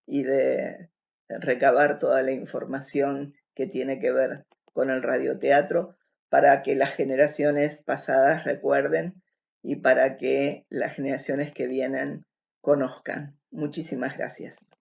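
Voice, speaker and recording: A man giving a spoken tribute at an event in halting, measured phrases. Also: mid-range voice, flat delivery, creaky, darkish tone, muffled recording. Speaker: event speaker.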